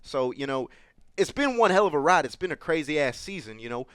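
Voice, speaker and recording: A man's voice: on the high side, big pitch swings, fairly quick, a bit bright, smooth, dry recording, studio quality. A man playing a brash, profane male college football coach who brags and swears while talking to an interviewer. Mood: boastful. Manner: boastful, crude, keeps addressing the host by name. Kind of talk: improvised comedy interview sketch.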